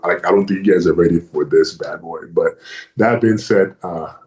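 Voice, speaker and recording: A man's voice: deep voice, a little mellow, reverberant, rough recording. A man from Nigeria, a native Yoruba speaker, talking as a guest wrestler in a casual interview, boastful and full of "you know".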